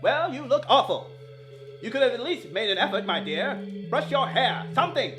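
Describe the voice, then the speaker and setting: A woman's voice: on the high side, very animated, normal speed, music in the background. A woman playing a condescending, self-important husband in a parody of 1940s radio drama. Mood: smooth, critical. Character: dismissive, pompous 1940s husband, a theater usher.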